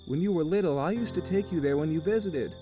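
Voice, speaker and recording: A man's voice: on the high side, fast, lightly acted. A man voicing a friendly middle-aged restaurant owner in a game reading, easygoing and encouraging. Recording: game music playing clearly behind.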